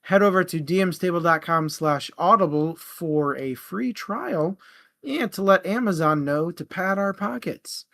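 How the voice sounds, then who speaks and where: Bright, higher-pitched man in casual book chat. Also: unhurried, gravelly. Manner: informal, jokey, profane. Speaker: co-host of a book discussion show.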